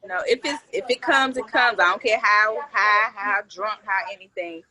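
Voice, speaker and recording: A woman's voice: medium pitch, normal speed, a crowd in the background. A woman in her forties talking as a guest in a candid, joking casual chat, blunt and full of earthy slang. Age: 40s. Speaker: interview guest, comedian.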